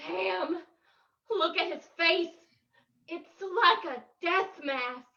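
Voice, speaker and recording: Woman as nervous townswoman, extremely high voice, dramatic, earnest, measured pace, radio play, muffled audio. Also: creaky, pushed and bright, heavily acted. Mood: alarmed, wary. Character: alarmed small-town colonial New England woman. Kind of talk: old-time radio drama reading.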